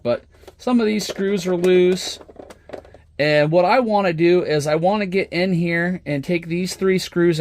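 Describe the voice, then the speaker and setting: A man's voice: fairly high pitch, slight background noise. A man giving a casual, unscripted hobby show-and-tell, thinking aloud as he works, recorded on a smartphone. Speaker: hobbyist presenter. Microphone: smartphone camera at the workbench.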